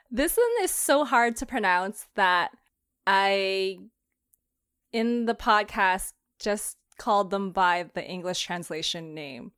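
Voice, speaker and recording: A woman's voice: mid-range voice, animated, slow, a bit bright, smooth, no echo, studio quality. A woman hosting a casual podcast chat, giggling often as she talks. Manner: giggly, laughs often while talking. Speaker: podcast host and creator.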